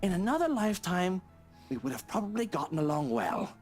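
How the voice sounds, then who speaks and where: A man voicing a haughty, sardonic, short-tempered homeowner in a visual novel, with mocking, drawn-out emphasis. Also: high voice, very animated, darkish tone, faint music behind, voice pushed up. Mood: weary menace. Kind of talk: dramatic visual novel read-aloud.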